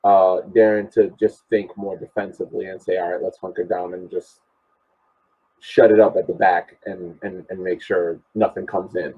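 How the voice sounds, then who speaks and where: A man on a podcast panel casually analysing sport, measured and searching for words, on a dull lo-fi connection. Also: deep voice, level tone, breathy edge.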